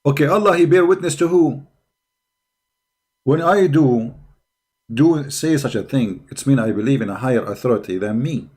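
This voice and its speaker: A man's voice: mid-range voice, moderate pace, pauses often, a little mellow. Combative man. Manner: combative, mocking, repeats phrases and asks rhetorical questions. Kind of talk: spontaneous polemical commentary.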